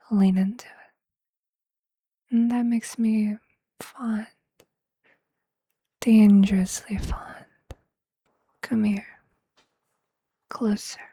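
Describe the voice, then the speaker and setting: A performer voicing a possessive, tender vampire lover in an intimate, breathy ASMR roleplay whisper, with a hushed, seductive and affectionate manner. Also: mid-range voice, no echo, full character voice. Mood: possessive, fond. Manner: slow, hushed, seductive, affectionate, formal wording.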